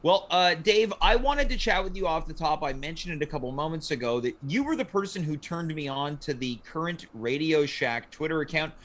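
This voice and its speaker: Animated male talk show host, fairly high-pitched. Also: brisk, hardly any pauses. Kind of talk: deliberate, theatrical show intro and banter.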